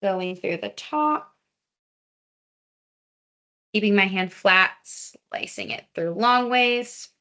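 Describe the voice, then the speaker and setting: Brief: young woman dietitian, friendly and chatty cooking instructor, mid pitch, video call audio. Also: takes it slowly, long pauses, pushed and bright. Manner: friendly, chatty, many fillers. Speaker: dietitian teaching a cooking class. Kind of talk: live online cooking demonstration. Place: home or teaching kitchen.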